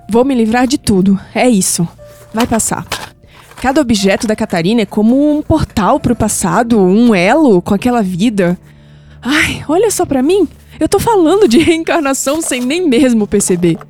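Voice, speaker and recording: Actress as anguished young Brazilian granddaughter, softly mellow. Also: female voice, a little high, expressive, moderate pace, lightly acted. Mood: anguished, confused. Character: anguished, skeptical young granddaughter unsettled by past-life visions. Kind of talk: audio drama acting. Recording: music bed under the voice.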